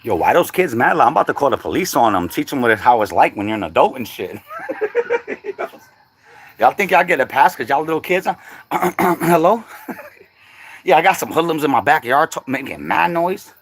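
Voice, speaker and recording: A man's voice: fairly high pitch, very animated, moderate pace, slight background noise. A middle-aged man chatting casually on a livestream, laid-back and slangy, laughing often. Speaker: livestream host.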